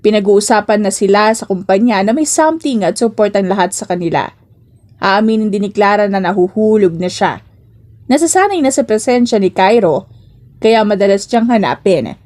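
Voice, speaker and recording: A woman's voice: medium pitch, lively intonation, moderate pace, a little mellow. A woman reading scripted romance story narration as an audiobook narrator.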